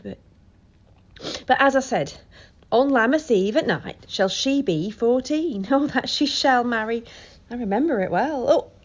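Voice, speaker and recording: A woman acts an old, sentimental nurse from a Shakespearean audio play. She rambles fondly in a high, animated voice, taking her time over exclamations. The home recording is slightly dull. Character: garrulous, sentimental, doting older female nurse. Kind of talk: Shakespearean audio drama acting.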